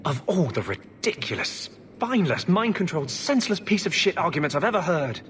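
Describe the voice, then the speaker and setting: A man's voice: fairly high pitch, big pitch swings, fairly quick, fairly bright tone, soft background music. A man playing a scornful, hot-tempered game character in an emphatic, contemptuous rant. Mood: exasperated contempt. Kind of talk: video game cutscene acting.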